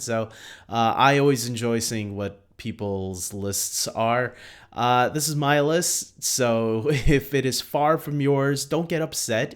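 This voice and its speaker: A man hosting a casual unscripted ranking video, talking to viewers in a halting, rambling, self-deprecating way. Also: medium pitch. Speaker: host of a film ranking video.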